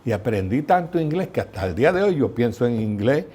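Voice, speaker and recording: An older man with a Puerto Rican accent, a guest telling lively stories in an interview. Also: medium pitch, brisk, breathy edge. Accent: Puerto Rican Spanish. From Puerto Rico. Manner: lively anecdotal storyteller, mimics voices in quotes. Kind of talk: storytelling interview.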